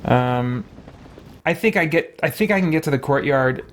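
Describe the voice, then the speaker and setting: A man's voice: a little high, lively intonation, moderate pace, a little hiss or hum. A man giving casual, unscripted let's-play commentary, hesitant and thinking aloud to himself as he plays. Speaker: gaming host playing and commenting on a role-playing game.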